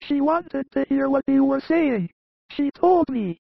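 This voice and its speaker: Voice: sits high, expressive, unhurried, vocal fry, no echo, heavily acted. A performer playing a frank, mischievous small boy in a scripted audiobook drama, candid and insistent. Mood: frank, defiant. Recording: slightly muffled, dry recording.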